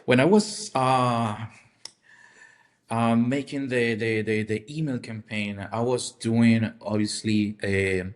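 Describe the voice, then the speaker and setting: A man co-hosting a casual podcast, speaking haltingly with repeated words and non-native phrasing. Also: mid-range voice, fairly even intonation. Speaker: podcast co-host.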